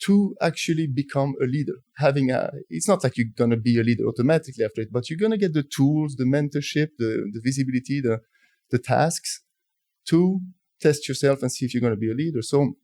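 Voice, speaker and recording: A man in his forties with a French accent speaks in a relaxed, mellow voice. He tells his story thoughtfully, pausing and restarting his sentences. The sound is dry and close, with no room echo. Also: medium pitch. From France. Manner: thoughtful, halting, many restarts. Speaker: guest, telecom executive. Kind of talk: conversational interview storytelling.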